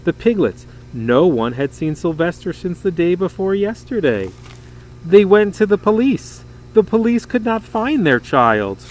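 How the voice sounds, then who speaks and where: A man reading a children's story aloud in an expressive, dramatic, emphatic way. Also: on the high side, a little hiss or hum, rough recording. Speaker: storybook reader.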